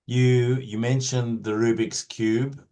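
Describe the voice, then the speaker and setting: A man's voice: mid-range voice, level tone, takes it slowly, dark tone. A man asking casual, conversational questions as an interview host. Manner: informal, conversational.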